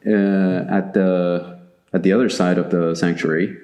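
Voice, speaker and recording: A man's voice: sits low, fairly even intonation, a little slow, warm tone. A man from Chile chatting casually and hesitantly, with lots of fillers, in a large empty church sanctuary. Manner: hesitant, many fillers and restarts. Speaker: guest artist. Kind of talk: casual conversation. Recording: echoey large room.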